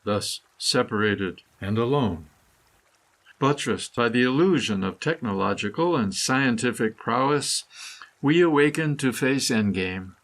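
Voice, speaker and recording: A man reading his own scripted meditative prose poem in a solemn, deliberate manner with dramatic phrasing. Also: mid-range voice, animated, a bit bright. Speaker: author reading own essay.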